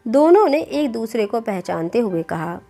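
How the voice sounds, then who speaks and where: A woman narrates a scripted moral tale in a fairly high voice. Music plays behind her as she tells the story. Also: sing-song, a little slow, dark tone. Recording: clear background music bed.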